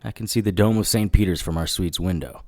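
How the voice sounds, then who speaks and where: A man's voice: sits low, fast, a little mellow, no echo, studio quality. A man acting a wry, hard-boiled occult detective who narrates and talks in a noir radio drama. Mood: wry banter. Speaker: narrator and lead character.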